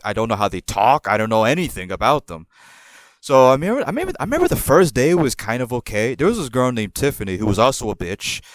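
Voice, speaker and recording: A man with a New York accent hosts a podcast, telling his story in a loose, rambling, profane way. His voice sits at an ordinary middle pitch and sounds close and dry, with no room around it. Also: no echo. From born in Puerto Rico, raised in the New York area. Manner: rambling, profane, animated retelling. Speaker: podcast host. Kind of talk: casual storytelling.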